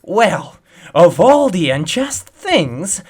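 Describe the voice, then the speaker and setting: A man's voice: high-pitched, big pitch swings, extremely slow, breathy edge, pitched above the performer's own voice, exaggerated voice. A man voicing a quarrelsome, indignant playing-card gardener from a children's storybook, sulky and exclaiming.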